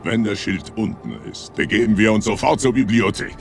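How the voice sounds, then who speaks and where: A man voicing a hulking, gruff alien commander in a video game, sneering and sly. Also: lowish voice, moderate pace, soft background music. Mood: commanding. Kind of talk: cinematic video game acting.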